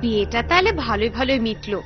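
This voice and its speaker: A woman's voice: on the high side, lively intonation, fast talker, close to an everyday voice. A woman playing an anxious, questioning village wife and mother in a cartoon, plaintive and fretful. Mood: curious. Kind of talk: cartoon voice acting in Bengali. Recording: dull, band-limited audio under music.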